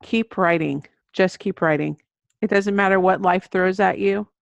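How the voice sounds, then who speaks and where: Casting: middle-aged female interview guest, conversational author type, low creaky voice, dull lo-fi sound. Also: normal speed, dry recording. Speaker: guest, children's book author. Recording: dull lo-fi audio.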